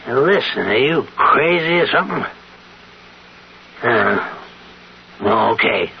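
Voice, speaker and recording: Irascible man in his late seventies, slow, creaky delivery, radio play, muffled vintage broadcast. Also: mid-range voice, animated, exaggerated voice. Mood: irritated, baffled. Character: irritable, baffled seventy-nine-year-old man. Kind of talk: 1970s radio drama acting.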